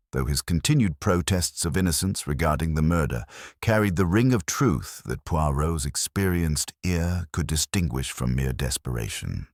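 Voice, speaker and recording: A man narrating a scripted mystery story in a formal, measured literary manner. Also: very deep voice, dry recording, clean studio sound. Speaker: audiobook narrator.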